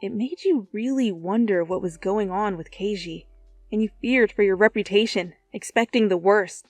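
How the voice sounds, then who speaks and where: Woman, story narrator, expressive fan fiction reading, dry sound, soft music bed underneath. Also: medium pitch, normal speed, no room sound.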